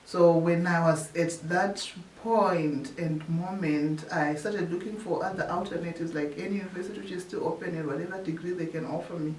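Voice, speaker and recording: A woman from Zimbabwe, a researcher telling her story in an interview, often pausing and restarting her phrases. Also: lowish voice, fairly even intonation, darkish tone. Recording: echoey room, distant mic, faint hiss.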